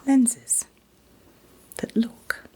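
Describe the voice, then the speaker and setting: A woman in a gentle, soothing ASMR roleplay as an optician, whispering breathily and reassuringly without hurry. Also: extremely slow. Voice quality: breathy, mostly unvoiced whisper. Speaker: ASMR performer playing an optician.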